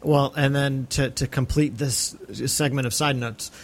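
A man's voice: mid-range voice, fairly even intonation, measured pace. A male podcast host talking casually and earnestly about film, with an enthusiastic manner.

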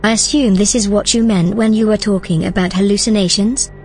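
Actress as cool, precise station scientist. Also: female voice, medium pitch, fairly even intonation, a little fast, darkish tone, close to an everyday voice. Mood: concerned. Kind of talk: science-fiction audio drama acting. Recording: music bed behind the voice.

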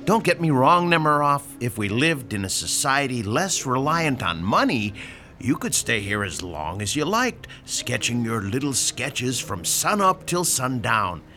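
A man's voice: mid-range voice, very animated, measured pace. An actor playing a pushy, sarcastic older landlord in a radio drama, lecturing his tenant about rent. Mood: needling. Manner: sarcastic, lecturing, theatrical swings from shouting to hushed.

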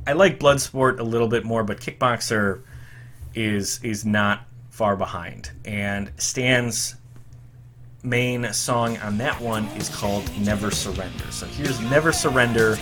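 A man hosting a music podcast, chatting like an eager fan with quick asides. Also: fairly low pitch, a bit bright. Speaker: podcast host. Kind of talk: enthusiastic music podcast commentary.

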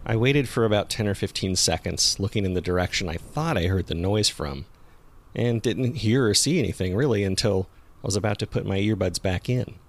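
A man's voice: lowish voice, brisk. A man hosting and narrating a podcast, reading from a script.

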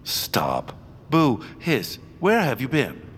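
An actor playing a vain, bumbling villainous baron in a comic audio drama, with a pompous, theatrical delivery. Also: male voice, medium pitch, wildly swooping pitch, slow, a bit bright, slight background noise, heavily acted. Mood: irritable.

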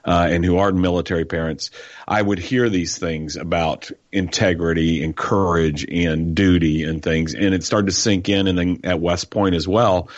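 A low-voiced man raised in New York who now carries a Texas twang. He talks in a folksy, storytelling way on a radio interview. His voice comes through dull and close, with no room sound. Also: fairly even intonation, moderate pace, no echo. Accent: Texas-tinged American. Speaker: guest author.

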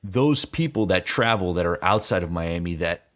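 A man's voice: sits low, animated, moderate pace, fairly bright tone. A man interviewing in a casual conversational way, feeling his way into questions and repeating words as he goes.

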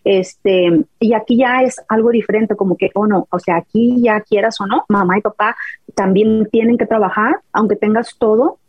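Husky hesitant woman from rural mexico, dry dull lo-fi sound, lots of "este". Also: mid-range voice, fairly even intonation, normal speed, no echo. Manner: hesitant, searching for words, many fillers. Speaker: health coach guest. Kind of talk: casual chat.

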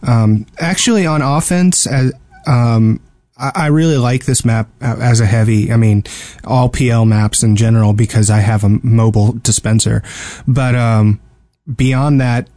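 A man's voice: mid-range voice, steady tone, normal speed, dark tone, no room sound. A man co-hosting a casual gaming discussion, talking in a hesitant, rambling way and often repeating words. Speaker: podcast co-host. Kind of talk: casual video game discussion.

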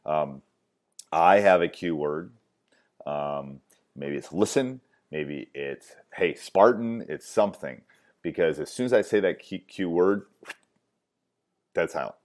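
Loud, deep-voiced man coaching basketball. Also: slow, pauses often. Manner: emphatic, repeats key points, many fillers. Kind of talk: coaching clinic talk.

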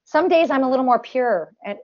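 A woman's voice: high voice, lively intonation, a little fast, a little mellow, dull audio. Nasal woman, restarting her thoughts. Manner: talks in long runs with many restarts and fillers. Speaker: tribute performer guest. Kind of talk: casual interview chat.

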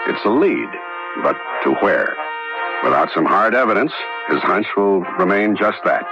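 A man's voice: lowish voice, big pitch swings, moderate pace. A man acting as a grave, suspenseful storyteller, with dramatic scripted narration for a vintage radio drama. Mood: ominous. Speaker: narrator introducing and linking the acts. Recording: dull old radio recording, music sting behind.

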